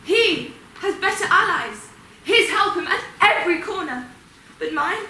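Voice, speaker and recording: A woman's voice: very high-pitched, animated, unhurried, bright, forceful tone, heavily acted. A woman playing a wounded, vengeful ghost in a Greek tragedy, urging on her sleeping hunters with commanding, declamatory scorn. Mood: urgent, accusing. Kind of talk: Greek tragedy stage acting. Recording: echoey room, distant mic, faint noise.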